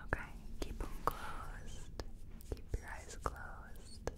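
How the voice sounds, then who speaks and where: A woman playing a gentle, chatty makeup competition contestant in a soft-spoken, whispery ASMR personal attention role-play. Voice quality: breathy, whispery. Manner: gentle, unhurried, chatty, reassuring. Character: friendly, self-taught makeup competition contestant.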